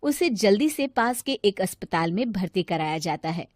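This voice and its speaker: A woman's voice: mid-range voice, lively intonation, moderate pace, no room sound, clean studio sound. A woman narrator reading a scripted moral story.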